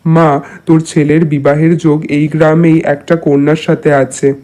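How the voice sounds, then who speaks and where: Man playing a solemn, even-toned village holy man. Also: on the high side, moderate pace, lightly acted. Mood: solemn prophecy. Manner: pronouncing, paternal, addressing listeners familiarly. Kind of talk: cartoon dialogue. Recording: music behind the voice.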